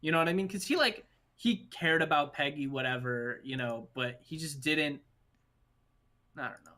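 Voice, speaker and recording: A man's voice: a little high, moderate pace, pushed and bright. A young man chatting casually as host of a movie livestream, emphatic, slangy and freely swearing. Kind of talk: casual chat.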